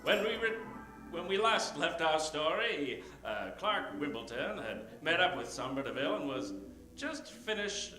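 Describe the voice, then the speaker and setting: A man's voice: on the high side, sing-song, unhurried, bright, forceful tone. A man giving theatrical, improvised narration as announcer in the style of old-time radio. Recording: roomy stage sound, music behind.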